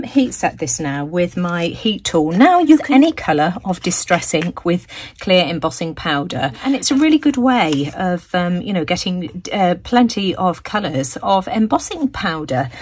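A woman hosting a crafting video, explaining each step with plenty of ums, her voice a little croaky. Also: on the low side, very animated, normal speed. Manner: frequent ums, friendly explaining. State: slightly croaky voice. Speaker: crafting channel host. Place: craft table, indoors.

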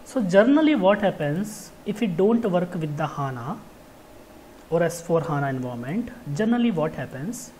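A software trainer giving an informal technical lecture, explaining in a halting, repetitive way with frequent check words. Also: medium pitch, big pitch swings, slight background noise, rough recording.

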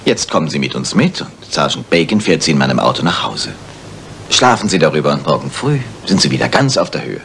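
An actor playing an exasperated, patronising police chief inspector in a German crime radio play. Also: male voice, extremely low pitch, big pitch swings, brisk, vocal fry, a bit bright, slight background noise, full character voice. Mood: placating. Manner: incredulous, placating.

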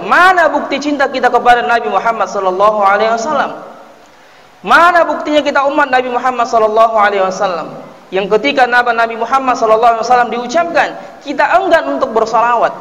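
A man's voice: very high-pitched, fairly bright tone. A man, delivering a sermon as a mosque preacher in formal, exhorting oratory. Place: tiled mosque interior, at the pulpit. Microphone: mounted microphone at the pulpit. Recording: echoey hall, distant amplified voice.

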